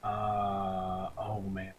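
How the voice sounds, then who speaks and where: A man's voice: low-pitched, completely flat delivery, drawn-out words, highs cut off. Casual male podcast host, noisy background. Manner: informal, sweary. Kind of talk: casual chat, film ranking banter.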